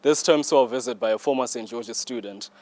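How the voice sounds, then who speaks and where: A man's voice: mid-range voice, brisk, darkish tone. A man presenting scripted news links for a newsreel.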